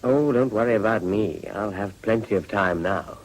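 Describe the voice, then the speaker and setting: An actor with a British accent playing an urbane, stoic politician, slightly husky, courteous and understated in a restrained drama style. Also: male voice, fairly low pitch, moderate pace, natural voice, poor audio. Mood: gently reassuring. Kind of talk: restrained television drama acting.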